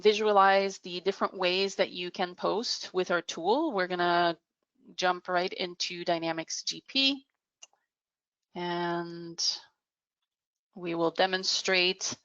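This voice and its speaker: A woman with a fairly low voice and plenty of vocal fry walks through a business software demo. She explains in measured phrases, pausing hesitantly as she goes. The recording is somewhat dull and lo-fi. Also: no echo. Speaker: software product demonstrator. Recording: dull, muffled lo-fi audio.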